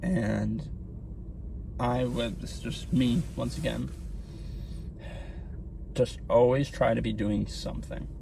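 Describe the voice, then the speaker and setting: Gravelly-voiced man vlogging from his car. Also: mid-range voice, steady tone, slow, pauses often, background noise. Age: 30s. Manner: rambling, speaks to camera while driving. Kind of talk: casual motivational talk.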